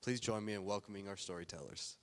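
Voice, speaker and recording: A man's voice: on the low side, quick pace, very noisy. A man hosting a university storytelling event, reading a prepared formal welcome. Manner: reads a prepared welcome speech. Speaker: event host. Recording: long hall reverb.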